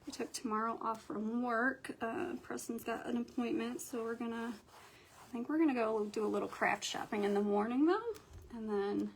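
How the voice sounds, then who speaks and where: A woman's voice: fairly high pitch, moderate pace, poor audio. Young woman crafting live, froggy morning voice, rambles w lots of ums, a little hiss behind. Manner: chatty, thinks aloud, frequent ums. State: hoarse morning voice. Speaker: craft livestream host. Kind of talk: casual crafting livestream chat.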